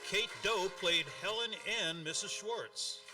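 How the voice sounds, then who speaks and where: A man's voice: high-pitched, unhurried. A man reading a scripted credits announcement as the announcer of a radio production. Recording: slightly distant voice under music. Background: music.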